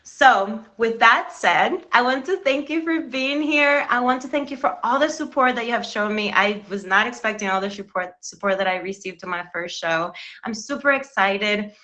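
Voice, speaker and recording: Bright, earnest woman hosting a live stream. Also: on the high side, moderate pace. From Cuba. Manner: earnest, encouraging, addresses viewers directly. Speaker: live stream show host. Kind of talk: motivational live-stream talk.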